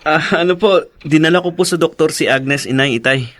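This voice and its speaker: Gentle young husband played by an actor. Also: male voice, on the high side, brisk, lightly acted. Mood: sheepish. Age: young adult. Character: caring young husband, proud father-to-be. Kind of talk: Tagalog radio drama acting.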